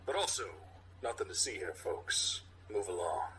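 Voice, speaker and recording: Casting: unflappable male buddy cop, read by a male podcaster, deadpan and understated, cop-show spoof. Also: low voice, moderate pace, slight background noise, pitched below the performer's own voice, poor audio. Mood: deadpan dismissal.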